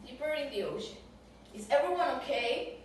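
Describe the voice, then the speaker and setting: Casting: woman as concerned sea creature for puppet show, halting non-native English, distant in a very echoey room. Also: sits high, animated, a little hiss or hum. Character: caring, alarmed sea creature friend in oil spill. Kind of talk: amateur puppet show acting.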